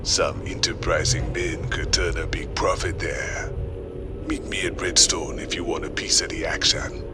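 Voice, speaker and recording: Deep-voiced, sly shady dealer. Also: male voice, little pitch movement, measured pace, over loud music. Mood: enticing. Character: sly, enterprising shady contact offering profitable jobs. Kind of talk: video game voice acting.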